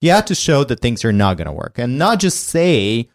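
A man's voice: mid-range voice, very animated, no echo, clean studio sound. A man in his forties, born in Ukraine, talking casually as a guest about running a company, often restarting his sentences. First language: Ukrainian or Russian. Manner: halting, restarts sentences, laughs easily. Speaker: guest, startup CTO. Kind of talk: casual interview conversation.

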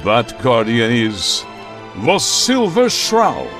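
Melodramatic old radio crimefighter, slow grand delivery, pitch swings around, music under him. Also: male voice, huge pitch swings, fairly bright tone, heavily acted. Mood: solemn. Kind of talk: 1940s radio serial acting.

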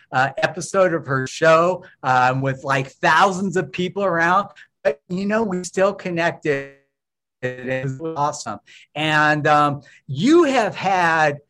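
A man's voice: a little high, a bit bright. A man hosting an interview, talking enthusiastically with fillers and restarts. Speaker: interview host. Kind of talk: warm interview chat.

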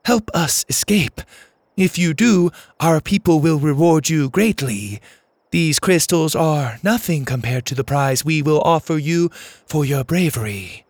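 A man's voice: on the high side, measured pace, no echo, pitched above the performer's own voice. A man voicing a desperate young girl who solemnly pretends to be an alien, with clipped, pleading speech.

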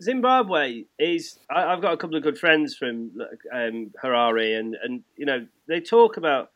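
An English man with a fairly high-pitched voice hosts a sports podcast. He speaks in a matey, informal way, chatting casually as he puts questions to a guest. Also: animated, unhurried, dull audio. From England. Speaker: podcast host interviewing a guest.

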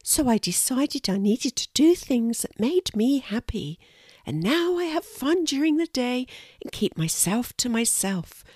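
Storybook squirrel, young female, played by a woman: small high voice, shy and earnest, lilting delivery, dry close sound. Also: big pitch swings, measured pace, a little mellow, no room sound. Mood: earnest, upbeat. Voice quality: little, thin voice. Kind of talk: children's storybook character voice.

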